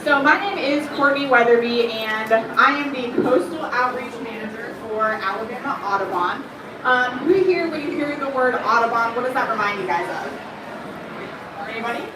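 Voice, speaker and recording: A woman giving a lively, chatty talk on coastal birds to a live audience, asking the crowd questions and filling gaps with ums. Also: fairly high pitch, moderate pace. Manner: says she has a loud voice. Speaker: bird conservation educator. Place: room at an aquarium. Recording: echoey room, far from the mic, background noise.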